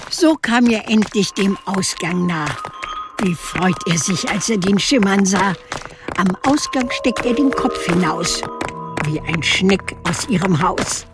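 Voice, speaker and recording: An actress playing a storyteller who recites a rhymed legend in a measured storybook way for a radio play. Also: female voice, mid-range voice, lively intonation. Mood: measured storytelling. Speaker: narrator. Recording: clear music under the voice.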